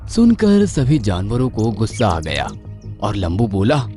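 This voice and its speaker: A man narrating a scripted children's story in an expressive storytelling manner. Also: fairly low pitch, measured pace, soft background music.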